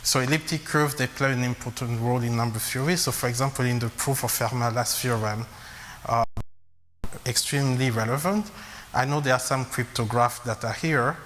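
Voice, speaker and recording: A man who grew up in Congo giving a math lecture, halting with frequent fillers and restarts. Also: mid-range voice, a bit bright. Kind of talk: academic lecture at a blackboard. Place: lecture hall. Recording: long reverb, faint hiss.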